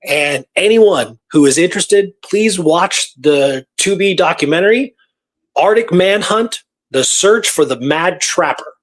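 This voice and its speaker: A man's voice: sits high, expressive, a little slow. A man hosting a radio show, telling a story in a casual, conversational way and repeating phrases for emphasis.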